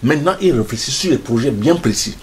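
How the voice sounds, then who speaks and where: A man's voice: medium pitch, lively intonation, quick pace, a little hiss or hum. An older man speaking as a studio guest in a passionate debate, emphatic and stammering on repeated words.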